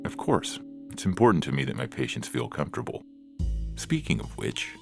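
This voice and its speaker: Man playing a gravelly, low-voiced, considerate therapist. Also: moderate pace, full character voice. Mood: accommodating. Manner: calm, accommodating, professional. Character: considerate, professional male therapist with robot patients. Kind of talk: dramatized science fiction audio story. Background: music.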